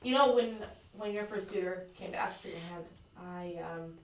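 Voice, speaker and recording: A woman acting a calm, earnest older sister who comforts and advises her younger sibling in a stage play. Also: lowish voice, moderate pace, close to an everyday voice. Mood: gentle comfort. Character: older sister, steady and consoling. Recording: echoey stage from far off, faint noise.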